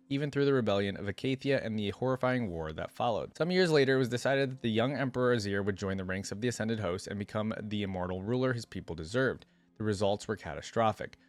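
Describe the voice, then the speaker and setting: A man with a fairly low voice hosts a reaction video. He moves between reading aloud and casual, slangy commentary with expressive emphasis. The sound is dry, with very little room in it. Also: no echo.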